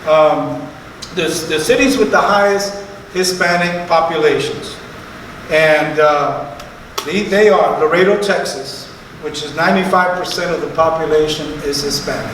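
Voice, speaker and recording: A man guides a panel with easygoing, conversational remarks. His voice sits a little high. He sounds distant, and the hall rings with a long echo and a faint hiss. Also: a little slow, a bit bright. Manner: conversational, chatty asides. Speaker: moderator. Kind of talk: panel discussion moderating. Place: museum event space, live audience. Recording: echoey hall from far off.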